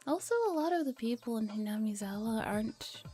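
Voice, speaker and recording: Female game streamer, mellow and a little high, soft ASMR read-aloud delivery drifting into whispers, music underneath. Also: animated, a little fast. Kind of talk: relaxed visual novel read-aloud with chat asides, ASMR. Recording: music behind the voice. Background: background music.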